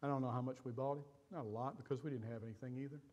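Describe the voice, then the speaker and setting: An older man preaching from a church stage, telling a personal story with pauses and feeling. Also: mid-range voice, steady tone, a little mellow. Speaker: pastor. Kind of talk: sermon storytelling.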